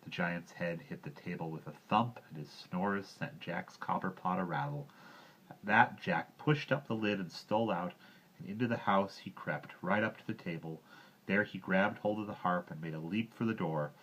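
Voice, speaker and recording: A man's voice: deep voice, moderate pace, fairly bright tone, breathy edge. A man narrating a children's story expressively as a storybook reader, on a slightly dull recording.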